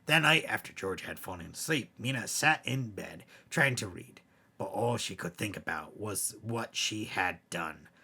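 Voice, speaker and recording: A man with a low, raspy voice tells horror tales like a bedtime storyteller. His delivery is theatrical and animated, swelling for emphasis and dropping to a hush. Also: measured pace. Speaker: storyteller, host. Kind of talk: dramatic scary bedtime story narration.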